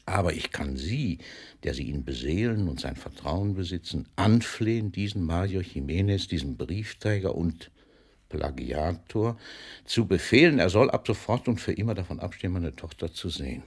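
An actor playing an older, famous poet in a German radio drama, declaiming with mockery and fatherly authority, swinging between booming and hushed delivery. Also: lively intonation, moderate pace, breathy edge, full character voice. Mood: mock-indignant. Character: older famous poet, theatrical, mocking, fatherly toward a young postman.

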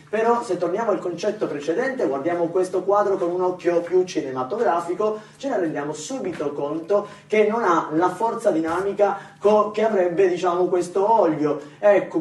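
A high-pitched, pompous male museum guide, played by a stage actor, lectures in a fussy, halting way with pedantic self-corrections. The voice sits back from the mic in an echoey room. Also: recorded from a distance, lightly acted. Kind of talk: theatrical monologue as guided tour.

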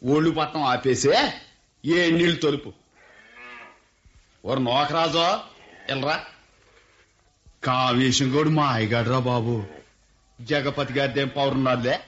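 Brief: an actor as a rough gossip, old muffled radio play sound. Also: male voice, mid-range voice, steady tone, unhurried, long pauses, a bit bright, close to an everyday voice. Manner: blunt rural banter. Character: rustic male villager gossiping. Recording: dull lo-fi radio recording.

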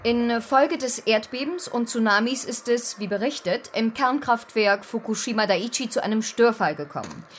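An actress playing a serious female news anchor, putting measured, probing questions in a formal radio-play interview. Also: fairly high pitch, slight background noise, lightly acted.

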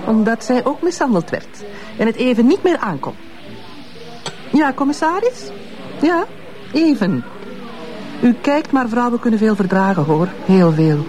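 An actress playing a composed, bitter middle-aged ex-wife of an abusive notable, with a Flemish accent, in a television crime drama. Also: female voice, fairly high pitch, very animated, unhurried, long pauses, a little mellow, soft background music. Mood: defiant, cool.

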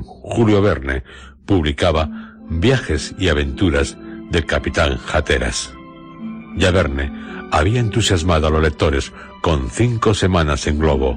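A man with a Castilian Spanish accent, narrating a literary radio programme in a solemn, measured storyteller's manner. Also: very deep voice. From Spain. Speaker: host and narrator of a radio drama series.

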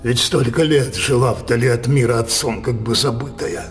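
An actor playing a brooding older king obsessed with his daughter, declaiming theatrical verse in a halting, impassioned manner for a radio play. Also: medium pitch, faint music behind, close to an everyday voice. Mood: hushed, anguished.

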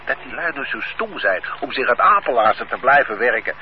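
A man's voice: mid-range voice, big pitch swings, brisk, close to an everyday voice. An actor playing an idle older man, a former factory worker who grumbles and stammers out daydreams, in a radio play. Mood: grumbling. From Netherlands. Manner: grumbling, stammering repeats, dreamy. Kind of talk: radio drama acting. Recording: dull lo-fi radio play recording with faint hiss.

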